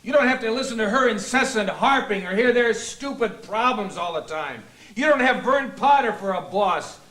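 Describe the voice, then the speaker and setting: A man's voice: high voice, fairly even intonation, normal speed, pushed and bright, poor audio. An actor playing a bitter, exasperated middle-aged husband who complains about his life in a comedy film. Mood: ranting.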